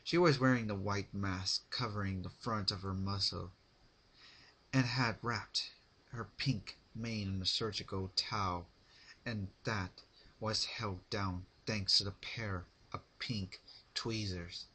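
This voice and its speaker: A man narrating a story as a dramatic reading, in deliberate, halting phrases. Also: lowish voice, fairly even intonation, sharp tone, husky. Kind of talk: dramatic fan fiction reading.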